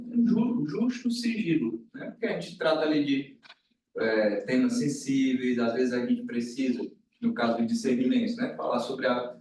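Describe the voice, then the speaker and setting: A man's voice: medium pitch, a little mellow. Brazilian guy talking at a meeting, kinda hesitant, lots of echo, mic far away. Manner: hesitant, circling back with frequent tag questions. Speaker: meeting speaker. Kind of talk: informal explanation at a meeting. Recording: echoey room, distant mic, faint noise.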